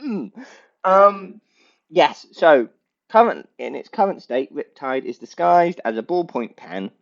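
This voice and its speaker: A man's voice: a little high, big pitch swings, takes it slowly, gravelly, lo-fi sound. Stuffy-nosed man rambling on his podcast. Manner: rambling, self-correcting, playfully goofy. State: stuffy blocked nose. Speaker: podcast host. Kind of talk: casual solo podcast rambling.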